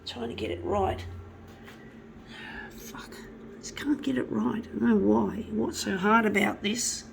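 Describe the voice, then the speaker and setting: A woman, hoarse from a flu with a blocked nose, chatting and swearing freely as she works. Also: medium pitch, fairly even intonation, measured pace, noisy background. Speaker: artist. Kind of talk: casual talk while working.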